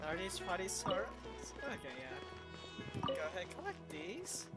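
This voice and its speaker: A woman's voice: fairly low pitch, huge pitch swings, a little slow. A woman streamer giving casual live commentary as she plays a game. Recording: game music behind the voice.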